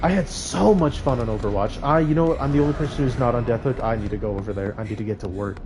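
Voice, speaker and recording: A man's voice: medium pitch, fast talker, noisy background. A man livestreaming a video game, chatting casually in informal slang and reacting aloud as he plays. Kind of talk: casual gaming stream chat with live reactions. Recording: music playing behind the voice. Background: music.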